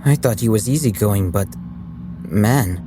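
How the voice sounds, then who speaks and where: A man's voice: lowish voice, measured pace, background noise, natural voice. A man playing a casual, candid, uneasy team member in an audio drama.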